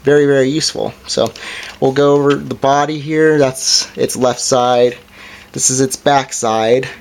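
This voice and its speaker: A man with a medium-pitched voice presents a hands-on product review. He talks informally and hesitantly, with an apologetic manner, as he demonstrates features step by step. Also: steady tone, measured pace, creaky, a little hiss or hum.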